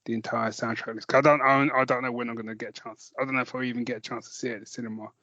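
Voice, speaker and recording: A British man talks casually as a podcast co-host at a middling pitch. He rambles and restarts his sentences often. The recording is dry and a little dull. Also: level tone, very fast, sharp tone, no echo. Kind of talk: casual chat about films and comics.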